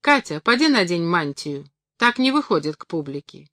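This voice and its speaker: A woman's voice: on the low side, very animated, normal speed, fairly bright tone, dry recording, clean studio sound. A woman voicing a stern, weary, protective male circus clown in an audiobook, curt and reproving. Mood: stern, resigned.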